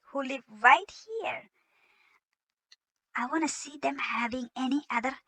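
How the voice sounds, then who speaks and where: A woman's voice: high voice, pushed and bright, no room sound. A woman, not an English speaker, reacting to a video in an indignant, mocking tone. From Somalia. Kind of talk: reacting to a clip.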